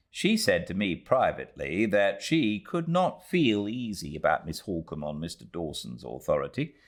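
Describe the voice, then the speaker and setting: Casting: British man as self-righteous widowed housekeeper narrator, classic novel, formal and prim, low register, expressive intonation. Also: heavily acted.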